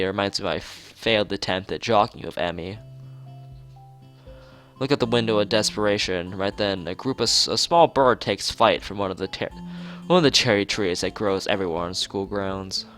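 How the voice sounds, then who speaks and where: A man's voice: fairly low pitch, a bit bright. A man reading a visual novel aloud in an informal let's play, stumbling over words and restarting phrases, with off-the-cuff asides.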